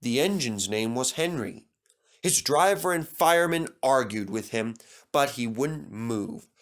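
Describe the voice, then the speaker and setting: Male storybook narrator, middle pitch, very animated intonation, unhurried delivery. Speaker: storybook reader. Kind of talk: scripted children's story narration.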